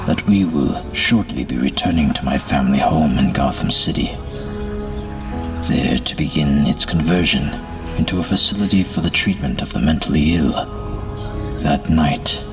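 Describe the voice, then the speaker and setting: A somber male psychiatrist of the 1920s played by a man recounts his journal as audio drama narration. His voice is extremely deep and measured, haunted and solemn, over clear background music.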